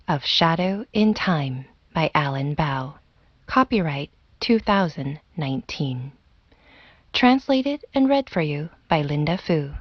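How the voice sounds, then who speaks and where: A woman's voice: low-pitched, no echo, highs cut off. A woman reading the closing credits of a story recording in a formal manner. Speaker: reader giving credits.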